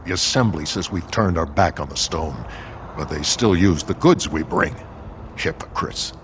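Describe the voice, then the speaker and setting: A deep-voiced dwarf merchant from a fantasy video game. He is proud, world-weary and formal, with a faint ambience around him. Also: expressive, moderate pace, a bit bright, slight background noise. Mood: bitter pride. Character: proud, bitter surface dwarf merchant, adult male. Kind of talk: scripted fantasy game dialogue.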